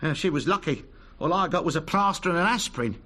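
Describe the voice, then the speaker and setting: An actor playing an indignant petty crook in a radio drama, protesting in slangy, plain speech. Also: male voice, fairly high pitch, expressive, fast talker, bright, forceful tone. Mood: aggrieved.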